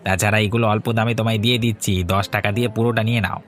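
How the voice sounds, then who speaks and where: A man's voice: fairly low pitch, level tone, very fast, fairly bright tone, lightly acted. A man playing a brusque, pushy village fishmonger in a cartoon, familiar and persuasive as he sells. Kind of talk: Bengali cartoon voice acting.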